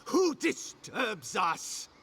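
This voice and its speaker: An actor voicing a big, deranged, hostile man in a video game, raving with theatrical menace in a gravelly, strained voice. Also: high voice, very animated, drawn-out words, fairly bright tone, slight background noise, heavily acted. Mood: defiant, menacing. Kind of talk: video game character acting.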